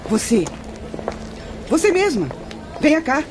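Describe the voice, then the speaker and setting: Haughty, animated young Brazilian woman. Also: sits high, slow, over music, full character voice. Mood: haughty, brusque. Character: haughty young prostitute mother, brusque and calculating. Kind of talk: radio soap opera acting.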